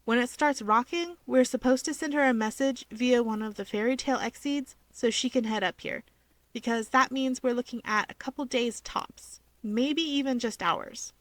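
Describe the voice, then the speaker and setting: A woman voicing a perky young female wizard in a story reading, playful, quick-witted and encouraging. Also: a little high, no room sound, close to an everyday voice. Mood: explaining. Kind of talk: fan fiction character reading.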